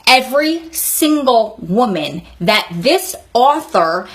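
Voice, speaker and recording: A woman's voice: fairly high pitch, very animated, slow pace, a bit bright. A woman of about forty giving candid advice in a vlog, speaking in an earnest, encouraging, conversational way. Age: 39, nearly 40. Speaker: vlogger advising prison wives.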